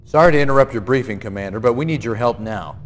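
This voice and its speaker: A man's voice: medium pitch, fast, a little hiss or hum, close to an everyday voice. A man playing an urgent military officer who gives mission orders in a commanding way for a video game cutscene. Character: urgent male military officer calling for help. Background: music.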